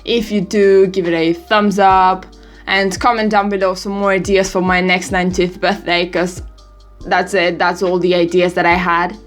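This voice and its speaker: A woman's voice: on the low side, level tone, moderate pace, soft background music. Giggly eighteen-year-old woman vlogger. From Europe. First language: not English. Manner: giggly, rambling, self-deprecating. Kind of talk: casual birthday vlog chat.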